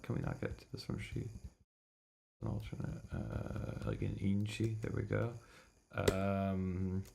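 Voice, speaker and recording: A man's voice: fairly low pitch, creaky, slight background noise. A man doing casual livestream commentary on a visual novel, thinking aloud in a muttering way and swearing casually. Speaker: streamer reading a visual novel.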